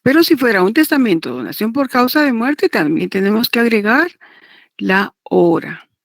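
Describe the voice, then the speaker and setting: A woman giving a didactic lecture, partly read aloud, in a deliberate, repetitive manner. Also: a little high, very animated, gravelly, dry recording, clean studio sound. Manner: deliberate, repetitive, checks understanding with tag questions. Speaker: law lecturer teaching notarial law.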